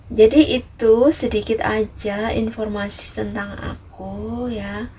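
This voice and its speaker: A woman's voice: medium pitch, fairly even intonation, slow pace. A woman from East Java chats casually to viewers in a repetitive way, often adding small tags that seek agreement. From Ngawi, East Java, Indonesia. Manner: repetitive, frequent softening tags seeking agreement. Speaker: vlogger, migrant worker. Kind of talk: casual vlog chat to viewers. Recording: distant mic, noisy ambient background.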